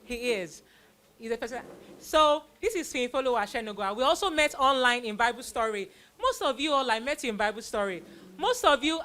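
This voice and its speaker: A middle-aged woman hosting children on stage, giving an informal, animated vote of thanks and speaking to an audience. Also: a little high. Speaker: host presenting child performers of a church play.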